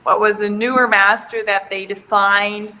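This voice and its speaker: A woman in her thirties, raised in Switzerland, talks through a video call from a room at home. Her voice is bright and clear, at a middle pitch, with faint noise underneath. She chats loosely, laughs often and restarts her sentences. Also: little pitch movement, measured pace, low-quality recording. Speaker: startup founder, guest. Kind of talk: casual interview on a video call. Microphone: video call webcam.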